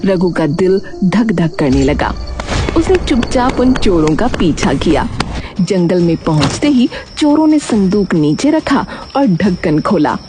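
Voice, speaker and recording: A woman's voice: lowish voice, big pitch swings, measured pace. A woman narrating a scripted story dramatically, with music playing behind her. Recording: background music throughout.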